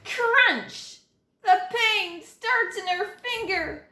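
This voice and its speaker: A woman teacher reading a children's picture book aloud, with dramatic, deliberate phrasing and stressed key words. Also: very high-pitched, animated, bright, forceful tone, reverberant. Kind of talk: expressive children's read-aloud narration.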